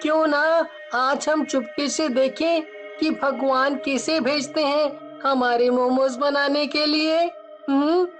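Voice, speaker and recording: A woman voicing an earnest young boy, a protective older brother in a cartoon story, with drawn-out pleading delivery. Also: high voice, steady tone. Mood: curious, earnest. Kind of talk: Hindi cartoon story dubbing. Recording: music behind the voice.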